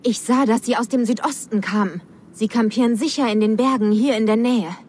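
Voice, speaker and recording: A woman's voice: a little high, brisk, a little mellow, breathy edge. An actress playing an earnest, direct young village woman in a video game. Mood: bewildered, urgent.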